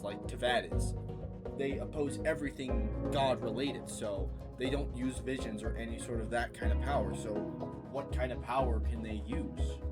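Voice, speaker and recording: A man giving casual, excitable commentary over a game, rambling and bursting into loud outbursts. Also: medium pitch. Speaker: gaming video creator. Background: loud game music.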